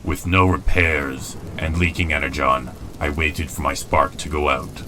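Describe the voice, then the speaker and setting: Very deep, weary robot commander. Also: male voice, fairly even intonation, unhurried, noisy background. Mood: somber, weary. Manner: solemn, commanding. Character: weary, stoic male robot commander, grave and self-doubting. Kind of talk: dramatic comic dub narration and dialogue.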